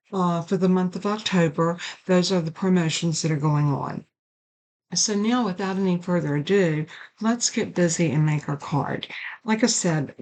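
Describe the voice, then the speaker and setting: An older woman, raspy and hoarse from a sinus infection, hosting a casual card-making tutorial at her craft desk. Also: lowish voice. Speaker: card-making channel host.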